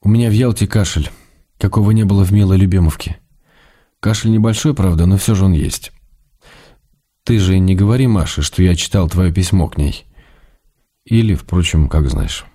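An actor playing an ailing writer and tender, gently reproachful husband, reading his letters in a measured, intimate way for radio drama. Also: deep voice. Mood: tender, wistful. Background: music.

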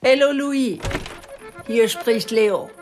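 A woman's voice: a little high, slow pace, over music. Blunt, plucky old village woman. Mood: brisk. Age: older. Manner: blunt, folksy, self-assured. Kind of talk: radio drama acting.

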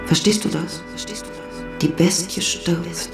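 A man's voice: fairly high pitch, fairly even intonation, slow. An actor playing an earnest revolutionary, voicing an intimate, subdued letter to his wife in a German radio play. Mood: solemn, tender. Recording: echoey studio with music behind.

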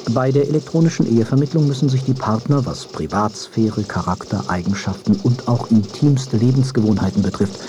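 Mid-pitched man reading over noise. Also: fairly quick, hardly any pauses. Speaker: reader of quoted texts. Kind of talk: scripted reading of period prose.

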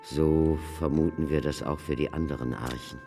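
An actor playing a grave, learned scholar in a radio play, explaining things in a measured, lecturing manner. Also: male voice, extremely low pitch, fairly even intonation, dark tone. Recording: long echoing reverb, faint music.

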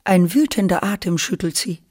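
A narrator of a literary radio play, telling the story in a measured, dramatic way with deliberate pauses. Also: mid-range voice, expressive, a little mellow, breathy edge, no echo, clean studio sound.

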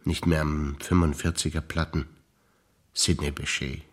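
An older man, a stage actor reciting memories in a rhythmic, deliberate listing manner with pauses. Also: very deep voice, flat delivery, slightly rough.

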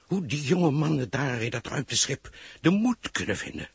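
An actor playing a solemn, deeply moved prime minister in a 1960s radio drama, with grave, oratorical phrasing. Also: male voice, very animated, moderate pace, creaky, breathy edge, no room sound, exaggerated voice.

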